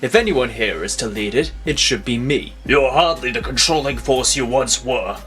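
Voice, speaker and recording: A man's voice: mid-range voice, normal speed, a bit bright, natural voice. A man playing a proud, scheming mob boss with a formal, grandiose manner. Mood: indignant.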